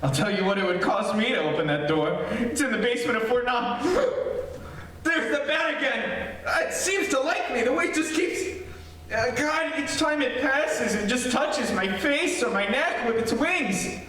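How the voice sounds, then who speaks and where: Distant echoey recording, faint noise, man playing jumpy haunted-house reporter, halting, confiding, animated pitch, old radio horror drama. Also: exaggerated voice. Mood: panicky.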